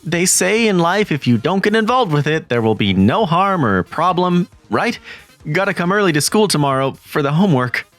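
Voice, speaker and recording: A man's voice: on the high side, big pitch swings, moderate pace, a bit bright, no room sound, faint music behind. A man narrating a manga story in first person, getting flustered and jumpy. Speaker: narrator and lead voice in a manga dub.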